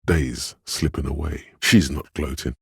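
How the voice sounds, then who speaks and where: An extremely deep, heavily husky male inner voice speaks straight into a troubled detective's head. The delivery is measured, intimate and knowing, like scripted game narration, recorded dry and close. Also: fairly bright tone, dry recording, full character voice. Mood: gentle, perceptive.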